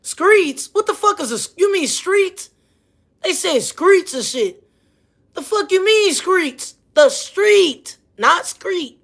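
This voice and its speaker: A woman's voice: extremely high pitch, lively intonation, slow, vocal fry, a bit bright. A woman with a Missouri accent, ranting casually to camera, heated and profane, repeating herself. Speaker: vlogger.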